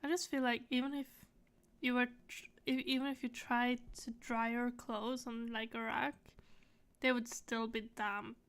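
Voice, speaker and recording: A woman's voice: on the high side, level tone, unhurried, fairly bright tone, clear, resonant tone. A woman streaming a game with casual, wry, joking commentary. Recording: game music behind.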